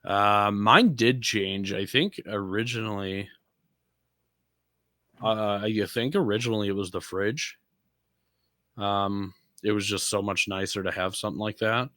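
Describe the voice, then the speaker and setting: Bright-voiced man with a low pitch. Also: measured pace, pauses often, no echo, studio quality. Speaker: podcast panelist. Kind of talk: casual chat about off-road vehicles.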